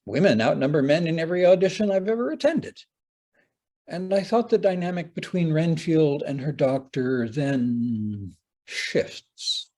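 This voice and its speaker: A man delivers a prepared lecture in a husky voice. His pitch moves widely as he speaks, with dramatic, deliberate phrasing and wry, opinionated asides. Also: a little mellow. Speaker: playwright giving a talk on adaptation.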